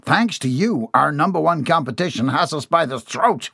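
A man acts out a stern old shipping boss for an audiobook. He is forceful and accusing, with a bellowing streak and a voice pushed up from a normal male pitch. The sound is close and dry. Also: expressive, moderate pace, vocal fry, slightly rough, dry recording, full character voice. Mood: stern, urgent. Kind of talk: dramatised audiobook dialogue.

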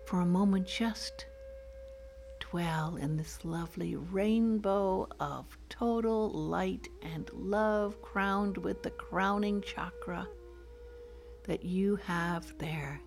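A woman leading a guided meditation in a soft, soothing, gentle voice, with poetic phrasing. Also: medium pitch, slow, vocal fry. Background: soft music.

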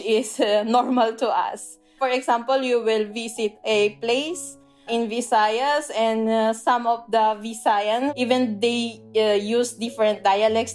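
A woman with a Filipino accent and a slightly high voice talks in a casual interview, explaining earnestly. She often stops on "uh" to find her words. Faint music plays under her speech. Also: darkish tone. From Philippines. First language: Tagalog. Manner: earnest, explanatory, frequent "uh" pauses. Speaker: language teacher, guest.

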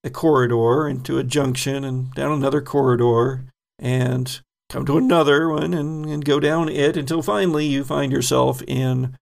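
A man narrating as game master of a tabletop role-playing game, with relaxed, rambling descriptions. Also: medium pitch, moderate pace, creaky.

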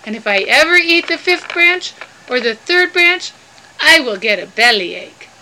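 A woman voicing an excitable, gullible, greedy male tree-climbing creature in a storybook tale, dramatic and drawing out words. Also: high voice, a little hiss or hum, pitched above the performer's own voice, exaggerated voice, poor audio. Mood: pleading, stubborn. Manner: dramatic, exclaiming, drawn-out words.

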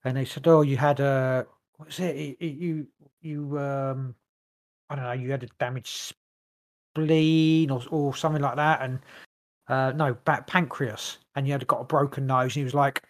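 A man's voice: medium pitch, fairly even intonation, moderate pace. A man co-hosting a podcast, rambling through a story in loose casual chat, swearing now and then. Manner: rambling, lots of fillers and restarts, swears. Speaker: podcast co-host.

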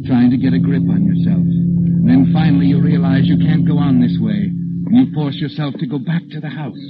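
A man's voice: medium pitch, a little fast, lightly acted. An ominous, sardonic storyteller played by a man taunts a guilty soul with knowing deliberation. It is 1940s radio mystery narration, on a dull old recording with music playing behind.

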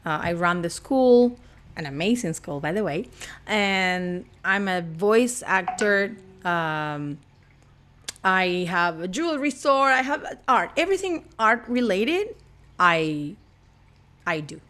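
A woman's voice: mid-range voice, lively intonation, slow pace, fairly bright tone. A young woman from Argentina with an Argentine accent, chatting playfully as a podcast guest and laughing often. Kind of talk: casual chat.

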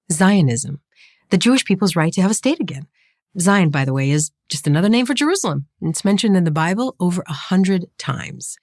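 Animated woman presenter. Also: lowish voice, no room sound, clean studio sound. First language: Hebrew. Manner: emphatic, with wry asides. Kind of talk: scripted educational narration.

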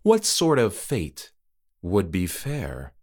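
Man doing a hushed, low, formal creature, slow and quiet, in a creepy story. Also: full character voice. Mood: coldly polite. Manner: calm, soft, measured and formal. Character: eerily polite male trick-or-treater spirit.

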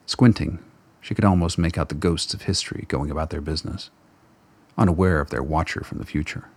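A man's voice: extremely low pitch, a little fast. A man reading scripted narration for a radio drama as its narrator.

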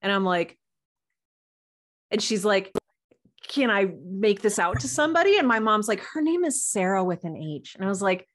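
A woman guest on a podcast, telling personal anecdotes in a casual, animated and halting way over a video call. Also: medium pitch.

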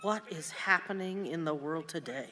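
A woman's voice: low-pitched, normal speed, sharp tone. A fretful older mother in a radio play. She sounds homely and restless. A long hall reverb trails the voice. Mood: indignant.

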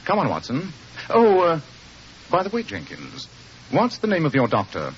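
An actor playing a brilliant, commanding gentleman detective in a radio drama, with a British accent and clipped, precise delivery. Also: fairly high pitch, huge pitch swings, normal speed. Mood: commanding, probing. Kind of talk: old-time radio detective drama acting. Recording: muffled, noisy old radio recording.

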